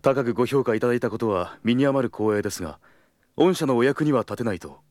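A man's voice: mid-range voice, expressive, quick pace, a little mellow, no room sound, natural voice. An actor playing an earnest, principled travel agency employee in a Japanese audio drama, formal and deferential with others and blunt in his own thoughts. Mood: politely firm. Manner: formal and deferential aloud, blunt in inner monologue.

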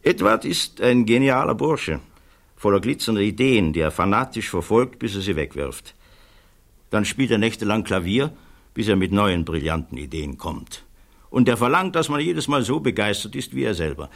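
An actor playing a thoughtful physicist giving measured, earnest testimony in a German radio play. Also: male voice, lowish voice, very animated, vocal fry, fairly bright tone, full character voice. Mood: candid, composed. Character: thoughtful male physicist witness, earnest, troubled by conscience.

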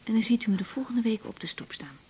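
An actress playing a patient, practical young doctor in a radio drama, asking questions gently and hesitantly in Netherlands Dutch. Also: female voice, on the high side, expressive, fast talker, a little hiss or hum, natural voice. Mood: caring. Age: young adult. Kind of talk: naturalistic 1980s radio drama acting.

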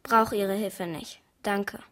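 A woman's voice: medium pitch, steady tone, takes it slowly, fairly bright tone. A woman playing a guarded, innocent-sounding eleven-year-old girl in a German radio play, giving terse, evasive answers.

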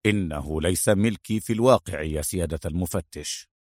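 A man voicing a serious, sharp-witted secret agent in an audiobook, measured and terse. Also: sits low, breathy edge, no room sound, studio quality, pitched below the performer's own voice. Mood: annoyed. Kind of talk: dramatized audiobook hero.

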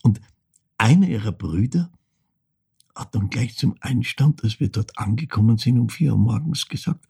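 An actor playing a haunted older man with an Austrian accent, telling of his mother in a halting, intimate theatrical monologue with a husky, creaky voice. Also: mid-range voice, lively intonation, darkish tone, full character voice. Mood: haunted, reflective. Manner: halting, brooding, shifting into whispers.

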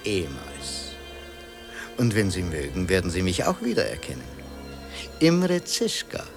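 An actor in a German radio play as a courteous, ghostly Hungarian waiter, polite, measured and bitterly ironic. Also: male voice, low voice, wildly swooping pitch, creaky, music in the background, heavily acted. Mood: courteous, dry.

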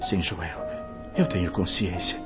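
A man's voice: deep voice, unhurried. An actor in a radio drama playing a repentant, tormented male spirit in an impassioned, declamatory way. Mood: grave guilt. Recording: dull lo-fi, music behind.

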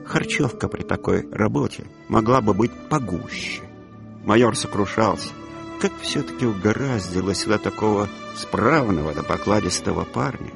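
A man's voice: fairly low pitch, expressive, normal speed, vocal fry. Male radio drama narrator with music behind.

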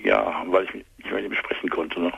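A man calling a phone-in show, hoarse from a cold, speaking haltingly with many fillers. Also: low voice, steady tone, fairly bright tone. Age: 40s. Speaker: caller. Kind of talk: phone-in call. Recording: muffled phone line.

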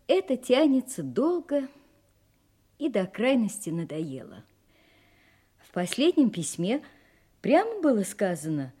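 An actress playing a refined, self-possessed young gentlewoman, candid and faintly mysterious, in an audio drama, speaking elegantly with dramatic pauses. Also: mid-range voice. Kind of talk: Russian audio drama acting.

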